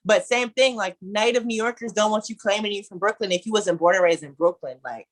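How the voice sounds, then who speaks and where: A woman's voice: mid-range voice, brisk. A woman in her twenties from Ohio, animatedly telling a story as a guest in a casual conversation.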